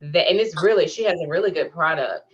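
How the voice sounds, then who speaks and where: A woman's voice: deep voice, fast. A woman hosting a podcast in a casual interview, affirming and chatty. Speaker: podcast host. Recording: dull lo-fi audio.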